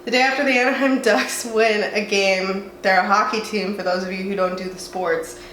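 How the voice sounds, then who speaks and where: A woman's voice: mid-range voice, fairly even intonation, moderate pace, a bit bright. A young woman from the southwestern United States, talking in a chatty, rambling way with a congested voice from a cold, at home. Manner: chatty, rambling, self-mocking. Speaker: vlogger. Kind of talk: casual chat. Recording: roomy echo, faint room noise.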